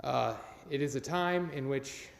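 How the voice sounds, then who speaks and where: A man's voice: medium pitch, unhurried, creaky. Guy introducing a radio play, informal n sincere, roomy sound with long reverb. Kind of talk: informal welcome speech to an online audience. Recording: echoey room with long reverb.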